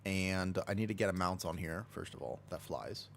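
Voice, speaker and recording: Low-voiced American man chatting on stream. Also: moderate pace, gravelly, a little hiss or hum. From United States. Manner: chatty and rambling, reads and answers chat, laughs easily. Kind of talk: casual gameplay stream chat.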